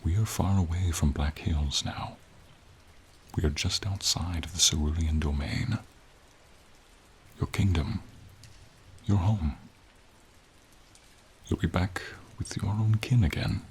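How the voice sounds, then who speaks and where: A man voicing a gentle, encouraging silver dragon in a fantasy ASMR roleplay, with a husky, near-whispered voice and slow, tender, courtly phrasing, over rain. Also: sits low, a little hiss or hum. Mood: warm, reassuring. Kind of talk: fantasy ASMR roleplay, soft intimate acting. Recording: steady rain behind the voice.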